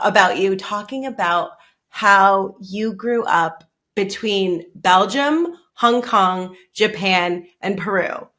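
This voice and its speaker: A woman's voice: medium pitch, sharp tone. A woman hosting a podcast interview, enthusiastic and chatty, who laughs easily. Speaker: podcast host. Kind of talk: casual interview chat.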